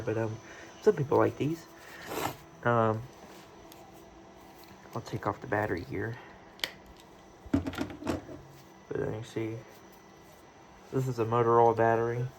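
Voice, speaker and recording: A man's voice: mid-range voice, vocal fry. Rambling man on a noisy home recording. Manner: rambling, hesitant, thinking aloud. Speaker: vlogger, radio hobbyist. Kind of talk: casual unscripted show-and-tell. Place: indoors in his own room.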